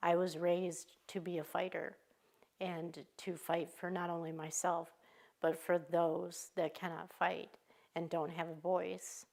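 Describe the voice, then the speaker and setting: A woman in her fifties from Michigan speaking earnestly and with determination in a news interview, pausing often as she talks. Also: on the low side, little pitch movement, vocal fry, a little mellow. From Michigan, USA. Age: 50s. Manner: earnest, determined, halting with pauses.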